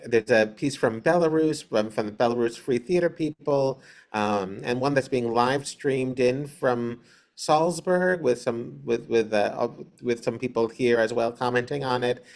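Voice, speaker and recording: Halting man with a medium-pitched voice. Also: creaky, a little mellow. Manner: hesitant, halting delivery with many pauses. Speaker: theater director, interview guest. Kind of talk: casual explanatory interview talk.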